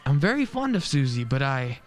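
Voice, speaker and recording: Man as kindly neighbor, lively intonation, friendly, slightly hesitant, faint music, radio play. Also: mid-range voice, fairly quick, close to an everyday voice. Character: friendly, easygoing male neighbor fond of children. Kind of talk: student radio play acting.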